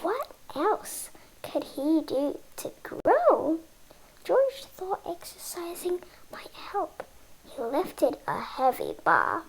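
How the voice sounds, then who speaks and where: A female reader reading a children's picture book aloud, halting word by word in short phrases. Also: very high-pitched, wildly swooping pitch, creaky, rough recording. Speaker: storybook reader.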